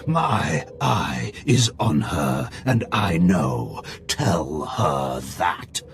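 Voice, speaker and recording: Menacing cyborg dark lord, gravelly rattle, dramatic swoops in pitch, crawling pace, contemptuous, game villain. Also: male voice, sing-song, a little hiss or hum, full character voice. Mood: cold menace. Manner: slow, threatening, contemptuous. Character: menacing half-machine Sith Dark Lord. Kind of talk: video game dramatic acting.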